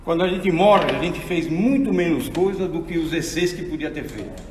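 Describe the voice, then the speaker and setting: A man's voice: a little high, normal speed, distant mic, slight background noise. Man in his sixties lecturing in an echoey hall. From Argentina. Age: 60s. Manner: animated, preacherly storytelling. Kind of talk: theology lecture to an audience.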